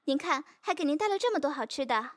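A kindly nurse in a radio drama, played by a woman. She talks quickly in a high voice, polite and caring, recorded close and dry. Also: lively intonation, dry recording. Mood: kindly, curious. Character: kindly, businesslike young female nurse. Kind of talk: Chinese audio drama acting.

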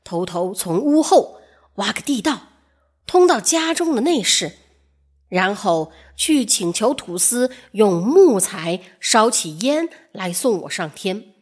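A woman voicing a clever, anxious male carpenter in a storybook, speaking in a hushed, earnest manner. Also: medium pitch, very animated, slow, husky, full character voice. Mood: plotting. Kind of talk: storybook character voice.

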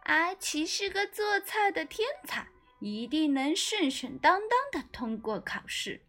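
A woman's voice: extremely high pitch, very animated, unhurried, a bit bright, faint music behind, pitched above the performer's own voice, heavily acted. A woman voicing eager little mice in a children's story, chirpy and emphatic. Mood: eager, excited. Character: pair of eager little mice, speaking as one.

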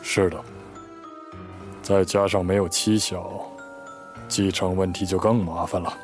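An actor playing a composed, courteous middle-aged lawyer in an audio mystery drama, speaking in a measured, thoughtful way. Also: male voice, sits low, level tone. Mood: calm, thoughtful. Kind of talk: radio drama acting. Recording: prominent background music.

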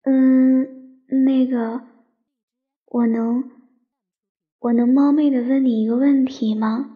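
A woman playing a shy, romantic young woman who tells her love story in a soft, sentimental radio drama style. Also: high-pitched, level tone, slow pace. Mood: shy, hesitant. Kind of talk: Chinese radio drama, sentimental first-person narration. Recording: slightly dull sound under piano music.

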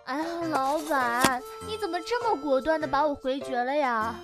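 A woman's voice: high-pitched, normal speed, music in the background, lightly acted. A woman playing a bubbly, pushy young job applicant in a radio drama, gushing and playfully wheedling. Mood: eager pleading. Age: young adult.

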